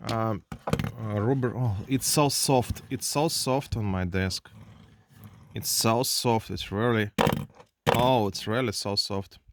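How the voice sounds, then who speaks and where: A man with a Russian accent reviewing a toy car at his desk, speaking halting English full of fillers and restarts. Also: fairly low pitch. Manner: halting non-native English with many fillers. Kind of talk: hands-on unboxing review. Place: indoors at a desk.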